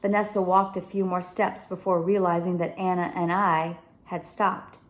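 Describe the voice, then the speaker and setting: A woman reading a children's novel aloud with expressive narration. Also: lowish voice, normal speed, echoey room. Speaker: story reader.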